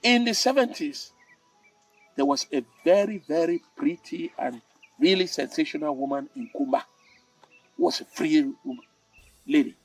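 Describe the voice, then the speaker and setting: An older man with a Cameroonian accent, a storytelling host who delivers tales and moral advice in a theatrical, emphatic way with dramatic pauses and repetition. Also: a little high, breathy edge. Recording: roomy, distant mic with music.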